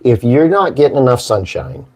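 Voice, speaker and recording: A hoarse man hosts a casual morning show, rambling and joking in a folksy way. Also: mid-range voice, animated, normal speed, mellow. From Maine, United States. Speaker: host.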